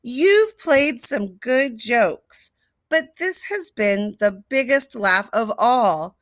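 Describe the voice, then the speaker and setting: A woman voicing a fond old storybook elephant, exclaiming with drawn-out, affectionate delight. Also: a little high, expressive, a bit bright, dry recording.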